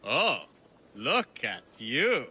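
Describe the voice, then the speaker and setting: Slow, piercing drunken Greek actor. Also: male voice, mid-range voice, big pitch swings, sharp tone, slightly rough, full character voice. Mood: tipsy, rambling. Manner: slurred, drawn-out drunken rambling. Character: drunken Greek actor, rambling, genial, unwilling to make trouble.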